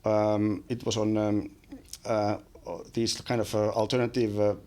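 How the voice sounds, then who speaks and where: A man's voice: on the low side, flat delivery, a little slow, a little mellow. A man in his forties with a Finnish accent, a podcast guest talking casually with many fillers and restarts, recorded on a studio mic in a small room. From Finland. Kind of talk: casual interview chat. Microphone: studio mic on boom arm.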